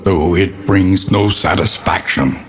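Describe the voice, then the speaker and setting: A man's voice: low-pitched, steady tone, takes it slowly. An actor playing a grave, world-weary older male narrator of a gothic horror game, solemn, ominous and florid in his theatrical delivery. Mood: grim, ominous. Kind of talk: theatrical scripted dark fantasy narration. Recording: long cavernous reverb.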